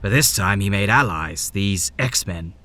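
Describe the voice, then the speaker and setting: A man's voice: fairly low pitch, expressive, measured pace, bright, forceful tone. A man playing a grim, determined male rebel guide in an audio drama, speaking earnestly and giving orders. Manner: earnest, commanding.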